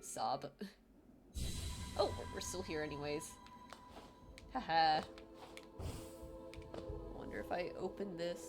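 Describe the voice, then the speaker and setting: A woman with a light Filipino accent chats casually as she streams a game. Her voice sits slightly low, and she is self-deprecating and quick to laugh. Game music plays clearly behind her. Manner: chatty, self-deprecating, laughs often, reads chat aloud. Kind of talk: casual live-stream chat while gaming.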